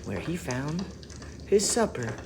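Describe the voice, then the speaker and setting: Slow, expressive man reading a storybook. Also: a little high, background noise. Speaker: storybook reader for schoolchildren. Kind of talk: expressive children's story read-aloud.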